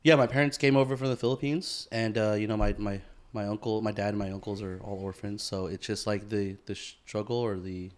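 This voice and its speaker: A man's voice: fairly low pitch, fairly even intonation, a little fast. A young American man co-hosting a podcast, chatting loosely with plenty of fillers and casual swearing. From United States. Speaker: podcast co-host. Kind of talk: casual chat.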